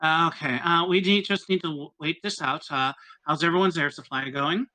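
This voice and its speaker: A man playing a tabletop roleplaying game, improvising in character in a hesitant, halting way. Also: fairly high pitch, bright, forceful tone, rough recording.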